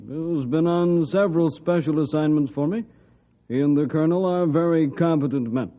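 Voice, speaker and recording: Government secretary, played by a man: dignified, fairly high voice, thin phone-like vintage radio sound. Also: moderate pace, lightly acted. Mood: gracious, pleased. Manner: polite, formal. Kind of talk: old-time radio drama acting.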